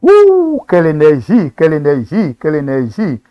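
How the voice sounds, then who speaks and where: A man's voice: fairly high pitch, wildly swooping pitch, normal speed, dull audio, soft background music. A man hosting a reaction video, commenting on gospel singers with enthusiastic, preacherly remarks. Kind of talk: casual commentary on a talent show.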